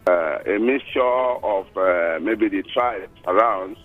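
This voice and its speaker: A man with a Nigerian accent calling a radio show, speaking in a measured, hesitant way. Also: low voice. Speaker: caller. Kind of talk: casual phone-in chat. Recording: tinny phone line with music under.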